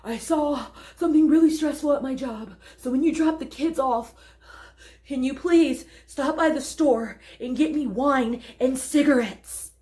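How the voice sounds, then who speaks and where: A woman's voice: high voice, measured pace, darkish tone, pitched above the performer's own voice. A woman voicing a stressed, demanding ex-wife with a whiny delivery in a dramatic monologue.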